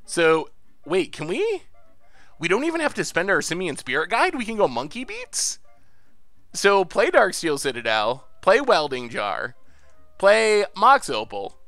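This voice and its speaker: A high-pitched man with a very lively, bouncy voice talks through a card game on a live stream, cheering and laughing freely. His voice sounds a bit congested and not quite itself. The sound is close and dry, with no room around it. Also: unhurried, no room sound, soft background music. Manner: excitable, laughs often, cheers at wins. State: a little congested, voice slightly off. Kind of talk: casual live-stream gameplay commentary.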